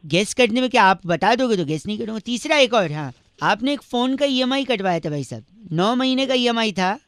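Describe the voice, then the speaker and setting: A man's voice: high-pitched, lively intonation, a little fast, a bit bright, clear, resonant tone, no echo, studio quality. A man making prank phone calls, mock-polite and teasing, laughing at his own jokes. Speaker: prank caller.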